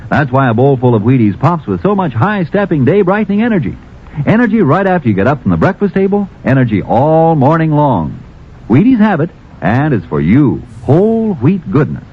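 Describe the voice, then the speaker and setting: A man's voice: fairly high pitch, sing-song, brisk, husky. An American man announcing a 1950s radio advertisement in a folksy, emphatic sales style. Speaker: commercial announcer. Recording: muffled vintage radio broadcast.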